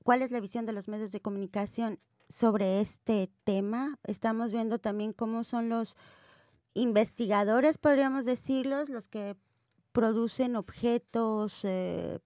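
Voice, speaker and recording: A woman's voice: on the high side, steady tone, a little slow, dry recording, studio quality. Woman explaining theatre project on air. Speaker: theatre guest. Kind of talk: radio interview.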